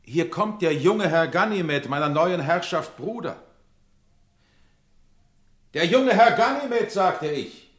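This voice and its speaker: A high-voiced, flustered stage shepherd. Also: male voice, animated, slow pace, long pauses, a bit bright, roomy sound, exaggerated voice. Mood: theatrical. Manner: theatrical, projecting, increasingly urgent. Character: stage actor as shepherd, flustered by a missed cue. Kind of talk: stage acting within radio drama.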